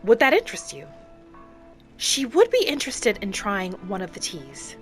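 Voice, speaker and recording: A woman narrates a cozy fantasy game in character, with music playing behind her. Her phrasing is courteous and a little formal. She sits at a middle pitch as she talks through the roleplay. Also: huge pitch swings, normal speed, fairly bright tone.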